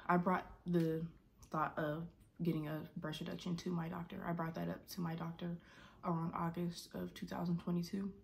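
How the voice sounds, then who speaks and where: A woman in her twenties chats to her camera from a bedroom, circling back and restarting her sentences as she goes. Her voice sits on the low side and stays fairly level, with plenty of vocal fry at the ends of phrases. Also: a little mellow. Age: 20s. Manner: rambling, frequent restarts and "like". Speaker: vlogger. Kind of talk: casual personal vlog. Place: bedroom, indoors. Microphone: camera at desk distance.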